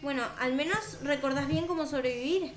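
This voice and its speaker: Mujer joven rioplatense de voz muy aguda. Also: female voice, high-pitched, fairly quick. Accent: Rioplatense Spanish. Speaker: gaming video host. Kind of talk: improvised in-character gameplay roleplay. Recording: roomy echo, music behind. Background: background music.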